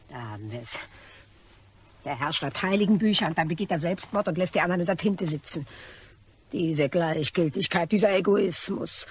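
A woman playing a callous, scornful, opportunistic schemer in a German crime radio play, with a very husky, breathy voice and a theatrical, muttering manner. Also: fairly low pitch.

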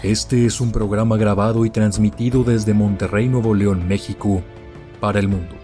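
A man's voice: lowish voice, steady tone, moderate pace, dark tone. A man narrating a dramatic, scripted show intro. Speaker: announcer. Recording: voice over prominent music bed. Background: music.

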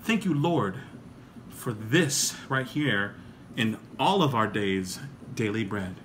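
A man's voice: lowish voice, animated, slow, a bit bright, recorded from a distance. A man in his thirties talks casually and playfully with his family. He is some way from a phone microphone in a roomy home, with noise in the recording. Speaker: father in a family vlog. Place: home living and dining room. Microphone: handheld phone camera at some distance.

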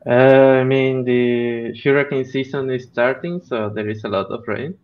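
A man's voice: mid-range voice, measured pace. A man, a guest speaker chatting casually over a video call. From Mexico. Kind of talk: casual chat. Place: room at home, video call. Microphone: headset. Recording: dull video call, faint noise.

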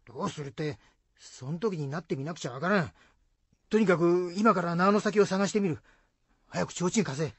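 A woman playing an excitable, easily spooked rustic husband in a folk-tale radio drama, blunt and husky, with Shizuoka dialect. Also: fairly low pitch, normal speed, dry recording. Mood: indignant, determined. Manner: blunt, rough rustic speech.

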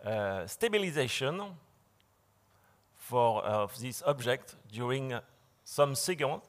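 A man lecturing in English, which is not his first language, in a large dark hall. He speaks through a podium mic, with many fillers and restarts. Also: mid-range voice, animated, slow pace. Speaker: conference speaker. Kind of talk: slide lecture.

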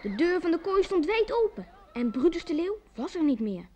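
An actress playing the narrator of a village tale in a radio play. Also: female voice, extremely high pitch, big pitch swings, moderate pace, dark tone, some chatter behind. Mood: suspenseful, lively.

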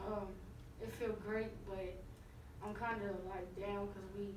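A young woman basketball player answering press questions in a halting, subdued, casual way. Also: medium pitch, little pitch movement. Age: teens. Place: small room at an arena. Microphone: far camera. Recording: roomy, distant, noisy.

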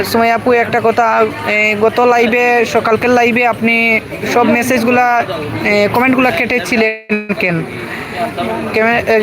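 A man with a Bangladeshi accent hosting a casual livestream outdoors in a busy market area, speaking to commenters directly and repeating himself. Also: high voice. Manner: repetitive, addressing commenters directly. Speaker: livestream host. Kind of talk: casual livestream chat with viewers. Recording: busy street crowd and traffic noise. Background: crowd chatter and vehicles.

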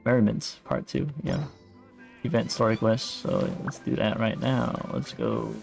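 A man giving relaxed, casual livestream commentary over a game, reading and reacting aloud. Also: lowish voice, slow pace, vocal fry. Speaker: game streamer. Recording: faint game music behind. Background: soft game music.

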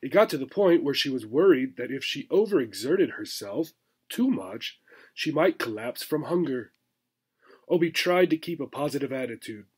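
A man, reading a children's book aloud in expressive narration. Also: medium pitch, moderate pace, slightly rough. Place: small furnished room indoors.

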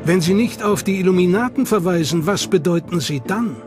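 Man, documentary narrator, scripted narration, measured dramatic delivery, high voice. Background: music.

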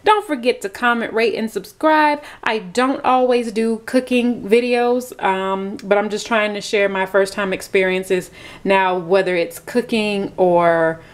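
A woman's voice: mid-range voice, measured pace. A woman from Florida chatting casually through a home cooking vlog, self-deprecating and full of fillers, recorded on a camera in her kitchen. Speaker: vlogger.

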